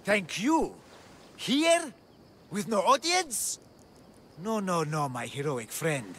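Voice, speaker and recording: A man's voice: sits high, big pitch swings, takes it slowly, a bit bright, slight background noise, full character voice. A man playing a vain, grandiose showman with flamboyant theatrical delivery in a comic video game scene.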